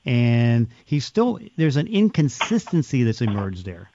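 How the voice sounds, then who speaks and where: A man's voice: mid-range voice, very animated, measured pace, background noise. A man hosting a sports podcast, giving conversational, explanatory football analysis in loosely linked phrases. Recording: slightly dull, dry lo-fi sound.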